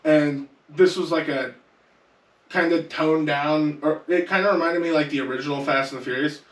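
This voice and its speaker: A man's voice: fairly high pitch, fairly even intonation, normal speed. Guy chatting on a podcast, echoey room, little far from mic. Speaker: podcast panelist. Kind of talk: casual panel chat.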